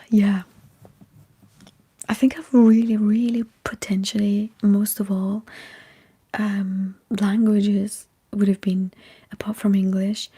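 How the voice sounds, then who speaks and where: Slow, very breathy whispering woman. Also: mid-range voice, warm tone. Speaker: ASMR streamer chatting with live viewers. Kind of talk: whispered ASMR livestream chat.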